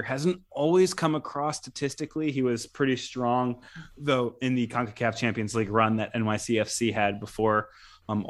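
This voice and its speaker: A man co-hosting a soccer podcast, talking casually with frequent restarts and small laughs. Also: fairly low pitch. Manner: conversational, many restarts and soft laughs. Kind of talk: casual sports talk.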